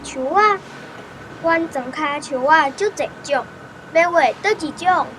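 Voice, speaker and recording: A woman's voice: high voice, unhurried, pushed and bright, slight background noise, low-quality recording. An actress playing an earnest, eager young country boy with a Taiwanese accent in a radio drama. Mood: eager, obedient.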